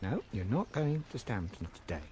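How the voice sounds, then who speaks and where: A man acts out a playful, talkative Edwardian shopman with an English accent. His delivery swings widely in pitch and delights in pompous invented words. The radio drama recording has faint noise behind it. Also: big pitch swings, moderate pace, mellow, natural voice. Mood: musing, resolute.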